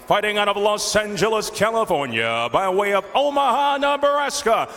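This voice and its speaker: High-pitched male ring announcer, swooping and showy. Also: animated, fairly bright tone. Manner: showy, drawn-out delivery of names. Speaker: ring announcer at an MMA event. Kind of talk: formal fight introductions. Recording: long echoing arena reverb.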